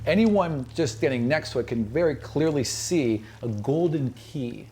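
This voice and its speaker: A man's voice: medium pitch, animated, a little slow, warm tone, slight background noise. A man running a tabletop game as game master, shifting between dramatic description and casual asides. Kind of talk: improvised narration of a tabletop game. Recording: music bed behind the voice.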